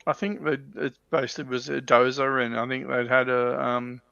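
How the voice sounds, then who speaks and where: An Australian man telling stories in casual chat, with a halting delivery that often doubles back on words. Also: mid-range voice, level tone, gravelly, sharp tone, clear, resonant tone, dry recording. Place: livestream video call. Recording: dull, lo-fi voice call audio.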